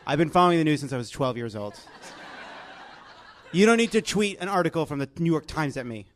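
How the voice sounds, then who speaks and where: An American man with a fairly high voice hosts a comedy panel on stage. His banter is wry and sarcastic, tossed out conversationally to the other guests. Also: lively intonation, a little fast, slight background noise. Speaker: host of a live comedy panel show. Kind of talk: joking panel chat before a live audience.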